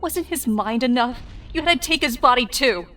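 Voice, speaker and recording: A woman's voice: a little high, animated, moderate pace, fairly bright tone, soft background music. A woman playing a fierce young fighter in a confrontational scene of a dramatic audio drama. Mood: anguished anger. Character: fierce young women, a hero and an alien villainess.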